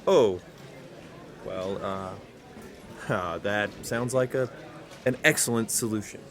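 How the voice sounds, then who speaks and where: A man playing an awkward high school vice principal who stammers and hesitates. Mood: flustered. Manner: halting, stammering, socially awkward.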